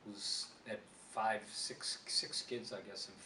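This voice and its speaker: A man's voice: on the low side, fairly even intonation, slow pace. A man, answering interview questions in a rambling, digressive way with frequent restarts. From South Boston, USA. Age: 70s. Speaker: interviewee, former politician. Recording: roomy, distant mic, noisy.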